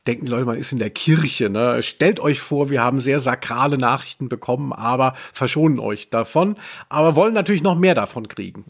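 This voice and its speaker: A man's voice: medium pitch, a little fast, vocal fry. A man co-hosting a podcast in casual chat, joking in a dry, ironic way. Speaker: podcast co-host.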